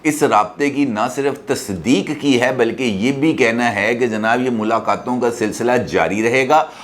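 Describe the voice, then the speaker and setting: A man's voice: mid-range voice, moderate pace. A man with a Pakistani accent giving informal political news commentary as a host, talking conversationally.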